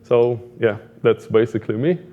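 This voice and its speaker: A man's voice: medium pitch, narrow, tinny audio. A middle-aged Israeli man with an Israeli accent giving an informal conference talk, feeling his way through phrases with repeats and fillers. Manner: halting, repetitive phrasing with frequent fillers. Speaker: conference speaker, product management director.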